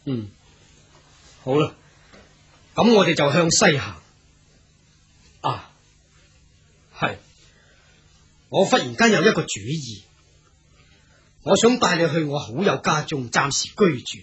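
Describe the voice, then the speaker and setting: An actor playing an earnest, proud young wandering swordsman in a radio drama, speaking in a theatrical, deliberate way. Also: a little high, sing-song, a bit bright, exaggerated voice. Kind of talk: Cantonese radio drama acting.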